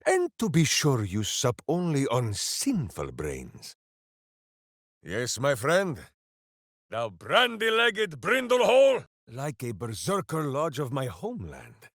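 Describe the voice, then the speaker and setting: A big, simple-hearted video game warrior with total heroic earnestness. His delivery is unhurried and theatrical, with very lively swings of pitch. The sound is dry and close, and every phrase is grandiose. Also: dry recording, exaggerated voice. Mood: booming, battle-ready. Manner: grandiose, earnest, speaks of himself in third person. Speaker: video game companion character.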